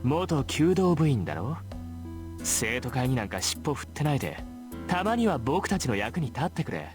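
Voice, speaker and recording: An actor playing a bossy, condescending teenage schoolboy in a Japanese visual novel, talking flippantly over music. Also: male voice, medium pitch, sing-song, fast talker, close to an everyday voice. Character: smug, bossy teenage schoolboy archery club member. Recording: background music under voice.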